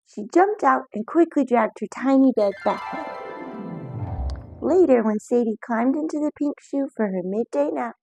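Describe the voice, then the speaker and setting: A woman reading a children's picture book aloud, lively and expressive, with voices for the characters. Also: sits high, normal speed, creaky, faint music behind, low-quality recording. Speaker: storyteller.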